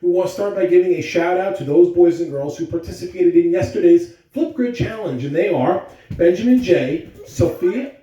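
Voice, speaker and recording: A man's voice: high-pitched, normal speed, dark tone, dull audio. A man speaking simply and directly to children, as in school morning announcements and story read-alouds. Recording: echoey room, distant mic, faint noise.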